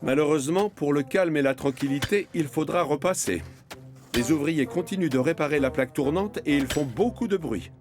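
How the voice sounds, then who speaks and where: A man's voice: on the high side, normal speed, darkish tone. A man reading scripted storytelling narration for a children's cartoon. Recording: music behind narration.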